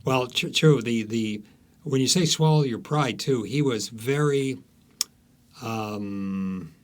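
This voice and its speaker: Man with medium pitch, halting but emphatic. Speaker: author, podcast guest. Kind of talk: conversational storytelling in an interview.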